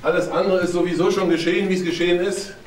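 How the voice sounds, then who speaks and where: A man's voice: sits high, steady tone, quick pace, close to an everyday voice. A man playing a blunt police detective in a crime drama, dry and sardonic. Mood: probing, sardonic. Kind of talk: German TV crime drama dialogue. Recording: roomy sound with faint noise.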